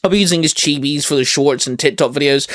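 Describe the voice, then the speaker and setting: A man's voice: fairly high pitch, fast, fairly bright tone. A man hosting a geek channel, chatting and making announcements in an informal, mildly sweary way. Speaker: host of a geek YouTube channel.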